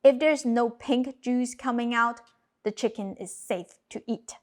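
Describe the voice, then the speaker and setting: Higher-voiced woman teaching a recipe. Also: unhurried, darkish tone. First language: Cantonese. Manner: clear step-by-step instructional delivery. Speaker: cooking channel host. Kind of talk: scripted cooking narration. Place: home kitchen.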